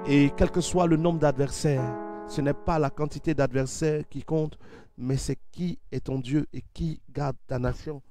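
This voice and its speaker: A man preaching fervently on a livestream in a steady repetitive cadence, with a head cold. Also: a little high, measured pace, soft background music. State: has a cold. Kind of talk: sermon with sung worship.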